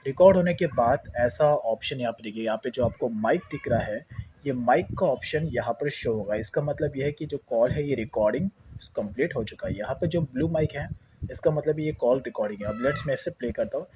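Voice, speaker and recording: A man's voice: mid-range voice, a little fast, background noise. A man with an Indian accent giving a casual step-by-step phone tutorial, explaining repetitively and mixing in English words. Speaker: tech tutorial host.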